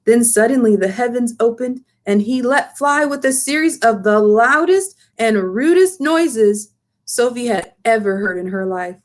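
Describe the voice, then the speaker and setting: A woman reading a children's storybook aloud as expressive scripted narration. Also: on the high side, unhurried. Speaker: storybook reader.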